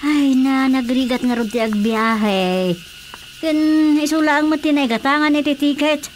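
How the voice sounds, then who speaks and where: A woman's voice: high voice, a little slow. A woman playing a stern, admonishing aunt in an Ilocano radio comedy drama, with an Ilocano accent and a matter-of-fact manner. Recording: faint background noise.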